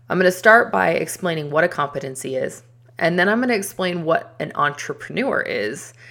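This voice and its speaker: A woman hosting her own podcast, talking casually and upbeat with fillers and quick asides. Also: low voice, vocal fry, a bit bright. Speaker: podcast host. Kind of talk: casual solo podcast talk.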